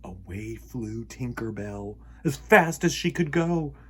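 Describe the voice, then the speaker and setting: A man telling a children's story in an animated, theatrical way, acting out the sound words. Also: mid-range voice, slow pace, breathy edge.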